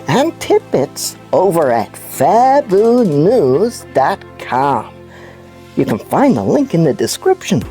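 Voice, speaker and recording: Very animated, unhurried woman playing a puppet news presenter. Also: a little high, exaggerated voice. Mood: bubbly, inviting. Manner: animated, storytelling, emphatic. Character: enthusiastic puppet news presenter explaining science to children. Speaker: kids' show host. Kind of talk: scripted children's educational presenting.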